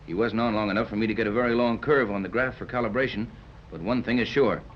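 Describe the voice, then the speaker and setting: An actor playing a capable yacht captain in 1930s radio serial style, brisk and authoritative as he explains and gives orders. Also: lowish voice, fairly even intonation, lightly acted. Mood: brisk, decisive. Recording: dull, noisy 1930s radio recording.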